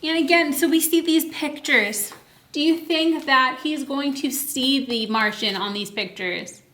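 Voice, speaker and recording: A woman hosting story time as a children's librarian, teacherly and animated, explaining a picture book to young children with questions. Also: high-pitched, unhurried. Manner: animated, teacherly, encouraging participation.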